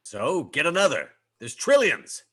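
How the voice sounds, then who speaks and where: A man's voice: fairly high pitch, wildly swooping pitch, a little slow, pushed and bright, breathy edge, full character voice. A man playing a haughty, melodramatic space hero in a comic sci-fi script read, with pompous, formal diction.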